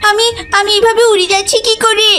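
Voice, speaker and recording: A woman's voice: extremely high pitch, flat delivery, a little fast, pushed and bright. A woman voicing a naive, playful little tiger cub girl in a cartoon, with a childlike, pleading manner. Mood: frightened. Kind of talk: cartoon voice acting.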